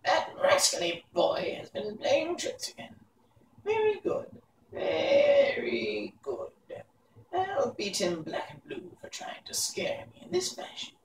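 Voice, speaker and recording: A scheming old witch, acted out by a man, pitched high and full of spite. She mutters gleefully to herself like a storybook villain. The sound is roomy and distant from the mic. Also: wildly swooping pitch, slow pace, gravelly, full character voice. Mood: vengeful. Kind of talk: storybook character voice. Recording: echoey room, distant mic, faint hiss.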